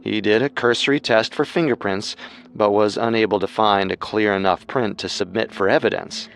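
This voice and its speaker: Low-voiced male true-crime narrator. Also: moderate pace, vocal fry, fairly bright tone. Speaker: podcast host and narrator.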